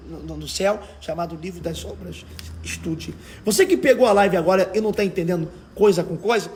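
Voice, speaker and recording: A Brazilian man with a markedly high, raspy voice preaches as an evangelical pastor. His delivery is colloquial and emphatic, pressing the listener with rhetorical questions and exhortations in the informal manner of a livestream sermon. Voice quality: hoarse, raspy.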